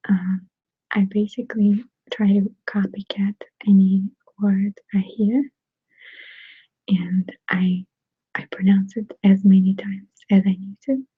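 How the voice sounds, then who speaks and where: Breathy whispering woman with Russian accent. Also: medium pitch, no room sound. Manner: soft whispering, giggly, answers viewer comments. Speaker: ASMR channel host. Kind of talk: whispered ASMR live chat. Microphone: desktop USB microphone. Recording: dull, dry close-mic sound.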